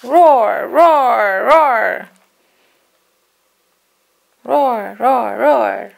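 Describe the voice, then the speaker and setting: A woman voicing a small, excitable storybook dinosaur who roars loudly and boastfully. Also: fairly high pitch, very slow, gravelly, full character voice. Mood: boisterous. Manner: loud, boastful, exclamatory roaring. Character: small excitable red cartoon dinosaur who loves roaring. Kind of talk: children's storybook read-aloud.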